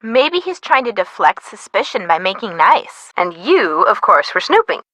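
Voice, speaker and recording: A woman's voice: medium pitch, big pitch swings, normal speed, bright, forceful tone, no echo. A woman voicing a detective's peppy young female friend in a mystery game, with sassy, teasing, casual banter. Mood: sassy, curious. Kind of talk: scripted mystery game voice acting.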